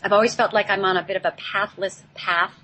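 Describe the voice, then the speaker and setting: A woman telling a personal story on a talk show in a halting, reflective way, picked up by a laptop microphone. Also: mid-range voice, steady tone, bright, forceful tone. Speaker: guest telling their life story. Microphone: laptop built-in microphone, not headset. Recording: noisy, off-mic laptop microphone.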